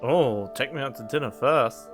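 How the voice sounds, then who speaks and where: A man's voice: mid-range voice, normal speed. A man giving casual, joking commentary while playing a game, on a desk condenser mic. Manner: joking asides, drawn out. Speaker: let's play streamer. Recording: game music playing behind.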